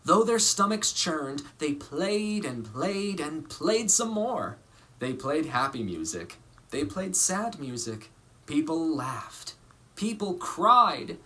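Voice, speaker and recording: Male storybook reader, bright forward tone, very animated melody, theatrical emphasis. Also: fairly high pitch, slow pace. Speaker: actor reading a children's storybook aloud. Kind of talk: expressive storybook narration.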